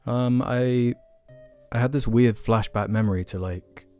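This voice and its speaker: A man's voice: medium pitch, measured pace, mellow, clean tone, faint music behind. A young man co-hosting a podcast, chatting casually and reading listener questions aloud. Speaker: podcast co-host.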